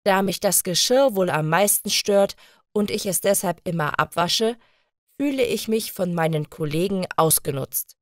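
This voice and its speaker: A woman's voice: medium pitch, normal speed, dry recording, studio quality, close to an everyday voice. A woman playing a student in her twenties in a scripted monologue for language learners, carefully enunciated. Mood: plain, measured. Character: 24-year-old female student introducing her shared-flat life.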